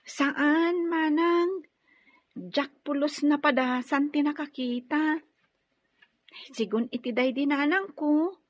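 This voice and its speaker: A meek young woman in a radio drama, with a very high voice and slow, hesitant delivery. She sounds respectful and grateful. The audio is dry and slightly dull. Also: no echo. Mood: earnest. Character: timid, grateful young blind orphan woman. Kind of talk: Ilocano radio drama acting.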